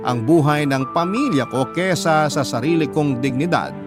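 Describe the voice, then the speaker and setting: Highish male Filipino storyteller over music. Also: expressive, normal speed. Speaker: radio host narrating listener stories. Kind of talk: first-person dramatic letter reading. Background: background music.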